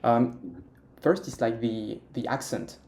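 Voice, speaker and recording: A man's voice: mid-range voice, slow pace, a little mellow, a little hiss or hum. A young man with a French accent, chatting as an interview guest about learning Mandarin, hesitant with many fillers and restarts. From France. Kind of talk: casual interview chat.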